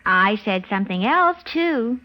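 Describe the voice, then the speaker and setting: Know-it-all wife in old radio ad, american, lively swooping voice, muffled sound. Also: female voice, medium pitch, measured pace. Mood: testing, pointed. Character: brisk, self-satisfied wife quizzing her husband. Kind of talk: mid-century radio advertisement acting. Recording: dull old radio recording.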